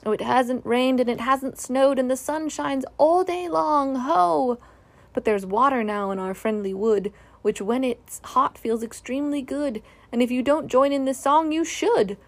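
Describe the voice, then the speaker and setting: Woman as a friendly bear, creaky and earnest. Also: fairly high pitch, animated, no echo. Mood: merry sing-song. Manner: sing-song, drawn-out, earnest. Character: cheerful, friendly male bear who hums rhymes. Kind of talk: children's storybook character voice.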